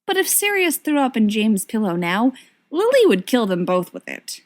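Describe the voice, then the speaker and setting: A woman's voice: fairly high pitch, sing-song, normal speed. A woman narrating fiction expressively, as a volunteer audiobook reader.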